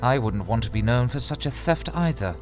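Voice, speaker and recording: A man's voice: mid-range voice, animated, brisk, natural voice. An actor playing Turum, an earnest male knight who keeps pressing with questions in an audio drama. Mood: doubtful. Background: music.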